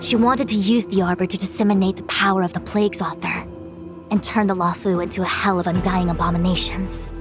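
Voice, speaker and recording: A woman's voice: medium pitch, fairly even intonation, brisk, natural voice. An actress playing an exacting, commanding noblewoman in a fantasy game, with formal, authoritative delivery. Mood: grave, urgent. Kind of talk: dramatic scripted game dialogue. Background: background music.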